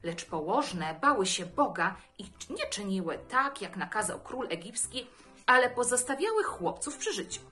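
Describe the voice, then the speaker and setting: Lively woman reading a children's Bible story. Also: medium pitch, moderate pace, soft background music.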